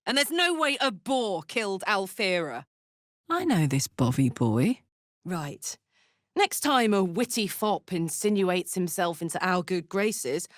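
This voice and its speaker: Young female game warrior, brash, hearty, playful, slangy, very animated delivery, unhurried pace, dry close recording. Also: mid-range voice, no room sound. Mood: thoughtful, resolute. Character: hearty, bold young female soldier with fiery warmth. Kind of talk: expressive video game voice acting.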